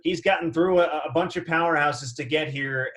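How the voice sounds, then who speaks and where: A man's voice: fairly high pitch, moderate pace, a bit bright. An American man hosting a sports interview with an emphatic, presenterly delivery. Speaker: interview host.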